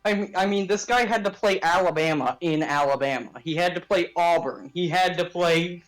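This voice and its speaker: A man's voice: high voice, moderate pace, poor audio. A man chatting casually and emphatically about sports as a podcast host, in informal language.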